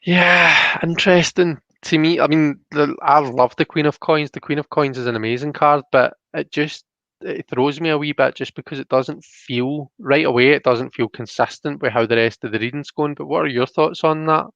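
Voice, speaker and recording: Gravelly Scottish man, casual and thoughtful. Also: a little high, steady tone, moderate pace, fairly bright tone, no echo, dull audio. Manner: thoughtful, often checks with "you know" and "do you know what I mean". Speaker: host and tarot card reader. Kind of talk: casual, conversational tarot reading.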